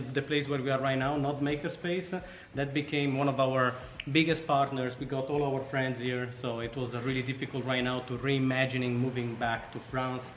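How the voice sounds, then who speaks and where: An Italian-accented man speaks casually as an interview guest, telling his story at a middle pitch. The room is clearly echoey, with faint noise in the background. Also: a bit bright. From Sardinia, Italy. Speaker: startup co-founder, interview guest.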